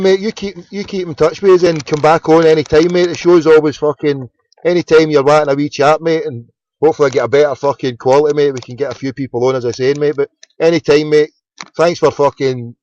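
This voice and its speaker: A man's voice: on the high side, fairly even intonation, fast, a little mellow, dull audio. A Scottish man hosting a casual phone-in, chatty and sweary, often repeating his words. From Scotland. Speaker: phone-in host.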